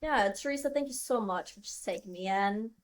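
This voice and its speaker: A woman's voice: mid-range voice, animated, brisk, pitched below the performer's own voice, close to an everyday voice. A woman playing a shy, giggly young mob wife who is new to the business, in her own voice.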